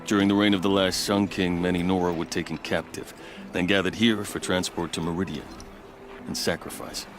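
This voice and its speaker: Actor as a low-voiced, measured army captain. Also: male voice, little pitch movement, lightly acted. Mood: earnest, proud. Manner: formal, measured, earnest. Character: dutiful veteran army captain, male, measured and proud. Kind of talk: video game dialogue acting. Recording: background music under the voice.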